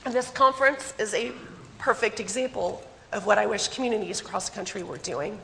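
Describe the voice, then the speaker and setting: A woman with a hoarse voice from a cold, giving a conference presentation and putting direct questions to her audience. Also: medium pitch, brisk, bright, forceful tone, slight background noise. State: hoarse, getting over a cold. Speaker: economic development consultant presenting. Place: conference hall. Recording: long hall reverb.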